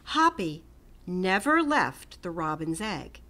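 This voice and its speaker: A middle-aged woman reading a children's picture book aloud with gentle, expressive storytelling and soft whispered asides. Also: fairly low pitch, slow, noisy background. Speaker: storytime reader. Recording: music playing under the voice.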